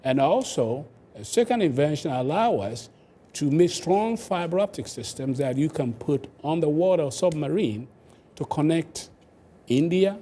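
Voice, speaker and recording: A man's voice: fairly high pitch, lively intonation, normal speed, warm tone. An older man with a Ghanaian accent, explaining his work as an interview guest. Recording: clear background noise.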